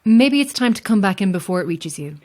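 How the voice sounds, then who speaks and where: An actress playing a chatty, self-deprecating lighthouse keeper in an audio drama, friendly and a little wistful, with hesitant asides. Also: female voice, medium pitch, lively intonation, brisk, a little mellow, natural voice. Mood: friendly, attentive. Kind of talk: naturalistic audio drama acting.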